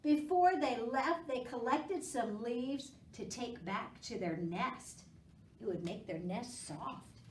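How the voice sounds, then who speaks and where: A woman with a fairly high, lively voice hosts a children's storytime. She reads and chats at an unhurried pace, coaxing young listeners along. The recording is echoey and a little distant. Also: slight background noise. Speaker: library storytime host. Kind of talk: children's storytime read-aloud and chat. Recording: echoey room, distant microphone.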